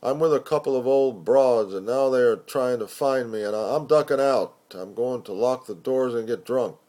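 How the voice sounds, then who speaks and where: A man voicing a pushy male racetrack gambler in a poem, loud and emphatic. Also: medium pitch, moderate pace, close to an everyday voice. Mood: confiding, boisterous.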